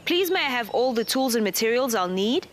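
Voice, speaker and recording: A woman's voice: a little high, big pitch swings, a little fast, slight background noise. A woman presenting a children's TV show, giving cheerful, patient step-by-step craft instructions. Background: music.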